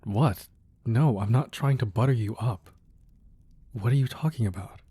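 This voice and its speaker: A man playing a devoted, protective crime boss boyfriend in a romantic ASMR roleplay, speaking softly and earnestly with hesitant pauses. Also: mid-range voice, breathy edge, no room sound. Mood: playfully defensive. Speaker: audio roleplay performer. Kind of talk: romantic ASMR roleplay, intimate scripted monologue.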